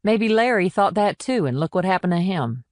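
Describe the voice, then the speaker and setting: A tough female police sergeant in a crime audiobook, acted out by a male narrator. The pitch sits raised and the delivery is firm and commanding, in a very dry recording with no room sound. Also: lively intonation, moderate pace, no echo.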